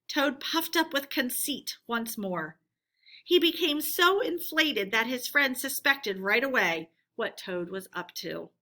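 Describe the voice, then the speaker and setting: A woman storyteller with a fairly high, bright voice reads a children's tale aloud. Her delivery is expressive and forward, clearly shaped for read-aloud narration.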